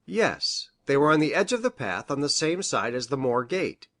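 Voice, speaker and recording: A woman's voice: deep voice, very animated, normal speed. A woman voicing a grave, hesitant male country doctor in a storybook reading, formal and precise in manner. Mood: matter-of-fact. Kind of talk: audiobook character reading.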